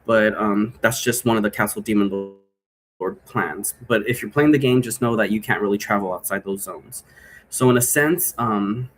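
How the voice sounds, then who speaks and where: A man's voice: lowish voice, normal speed. A man giving a casual, rambling explanation in an informal way, often checking for agreement. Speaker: game creator explaining rules.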